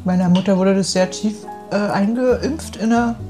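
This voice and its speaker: A woman's voice: medium pitch, normal speed, mellow, faint music behind. A middle-aged woman from the former East Germany, talking casually and reminiscing as an interviewed friend. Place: kitchen.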